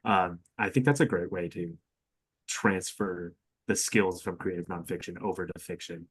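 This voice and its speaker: Young male co-host, slightly low voice, agreeable conversational delivery, dry studio-like sound. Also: moderate pace, a bit bright, breathy edge, no room sound. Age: young adult. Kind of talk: casual podcast discussion.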